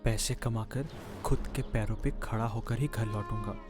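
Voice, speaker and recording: A man's voice: lowish voice, steady tone, normal speed, music in the background, natural voice. A man voicing an earnest, plaintive young village man, a wronged stepson in a cartoon moral story. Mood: weary, musing.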